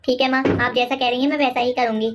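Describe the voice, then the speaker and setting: A naive young daughter-in-law played by a woman speaks in a fairly high voice, deferential and questioning. It is cartoon moral-story dialogue with faint music underneath. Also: flat delivery, fast talker, lightly acted. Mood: doubtful, obedient.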